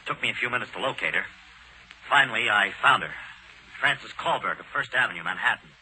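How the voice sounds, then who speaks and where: An earnest American beat cop in a 1940s radio drama, respectful and dutiful. The voice is sharp and animated on a faintly noisy old recording. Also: male voice, fairly low pitch, brisk. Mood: dutiful, grave. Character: earnest, respectful male beat policeman giving report.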